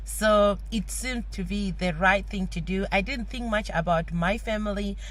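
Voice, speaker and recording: A woman, a mother, talking thoughtfully to the camera, pausing and restarting as she goes. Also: medium pitch, poor audio. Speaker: parent interviewee. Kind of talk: casual talk to camera.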